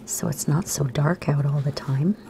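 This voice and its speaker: Low, gravelly, half-whispered woman teacher. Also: fairly even intonation, normal speed, a little hiss or hum. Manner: soft, half-whispered, gentle instructions. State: raspy, slightly sore throat. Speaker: art teacher for kids. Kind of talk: step-by-step drawing lesson. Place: home room by a window.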